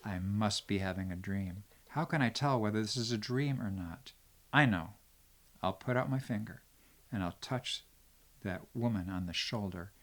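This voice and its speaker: A man voicing a young handsome prince in a children's storybook tale, musing aloud in a hushed, earnest way. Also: lowish voice, moderate pace, voice pushed down, close to an everyday voice. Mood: wondering. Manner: hushed, musing, talking to himself.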